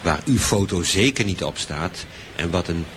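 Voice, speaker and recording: An actor playing a scheming, calmly menacing detective writer who insists and repeats himself, in an improvised radio drama. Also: male voice, low voice, moderate pace, noisy background.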